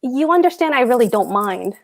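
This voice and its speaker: Bossy, boastful German landlord played by a woman, high and very animated, pompous stage-comedy delivery. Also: brisk, creaky. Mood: wheedling, suspicious. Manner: pompous, emphatic. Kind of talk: play table read.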